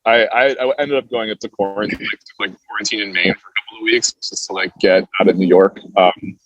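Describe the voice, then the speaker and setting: A man's voice: on the low side, fairly quick, no echo, highs cut off. Slangy man chatting, very dry close sound. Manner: slangy, animated retelling with quoted speech. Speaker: band member being interviewed. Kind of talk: casual chat.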